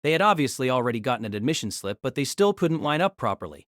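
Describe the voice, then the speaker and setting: A man reading a fantasy novel aloud as narrator, in a steady storytelling manner. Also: mid-range voice, fast, no room sound, studio quality.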